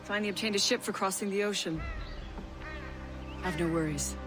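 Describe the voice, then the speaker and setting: A woman's voice: mid-range voice, brisk, lightly acted. An actress playing a composed, commanding young woman assassin in a video game cutscene. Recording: music behind the voice.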